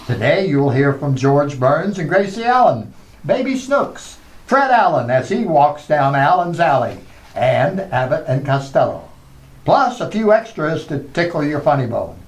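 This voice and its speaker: A man's voice: fairly high pitch, very animated, a little slow. A man working as show announcer, delivering a scripted radio show introduction.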